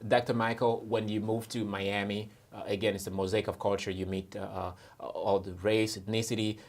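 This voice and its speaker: Male moderator, lowish voice, poses questions with some hesitation. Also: level tone, moderate pace. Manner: hesitant questions with fillers, brief acknowledgments. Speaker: moderator host. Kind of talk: moderating a panel discussion.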